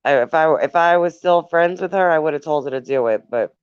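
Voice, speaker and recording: A woman's voice: low voice, fairly quick, smooth, no echo, highs cut off. A woman hosting a casual live stream, reading viewer comments aloud, laughing often and speaking fondly to her viewers. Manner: giggly, laughs often between remarks; affectionate toward viewers.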